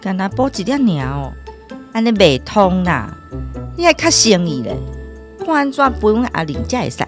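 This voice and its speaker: An actress voices a protective country aunt in a radio play, quick to scold and demand fairness. Her voice moves animatedly up and down over a faint bed of music. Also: mid-range voice, big pitch swings, close to an everyday voice. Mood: indignant. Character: sharp-tongued rural aunt, protective and indignant. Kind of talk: Taiwanese radio drama acting.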